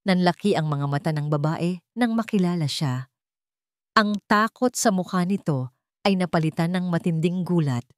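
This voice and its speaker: A woman's voice: lowish voice, normal speed, no room sound. A woman with a Filipino accent reading a scripted dramatic story as narrator.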